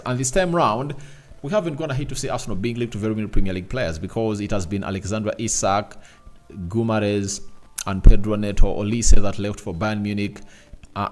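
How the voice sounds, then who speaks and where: A man hosting a casual football transfer news show, rambling and talking straight to his viewers. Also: on the low side. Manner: rambling, repeats "obviously" and "I tell you", talks to viewers directly. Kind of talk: casual solo commentary.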